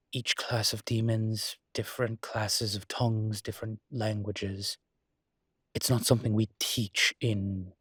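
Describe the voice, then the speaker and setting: A man's voice: on the low side, slightly rough, no room sound, clean studio sound. A man playing a refined incubus demon professor in an intimate audio roleplay, explaining things in a hesitant, genteel and slightly irritated but patient manner. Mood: thoughtful, explaining.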